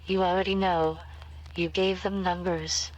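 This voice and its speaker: A woman plays an eerie captive in a horror tale, her voice a little low. She is unsettlingly calm and deliberate, giving cryptic, foreboding answers. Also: natural voice, poor audio. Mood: eerie, knowing. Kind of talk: scripted horror acting.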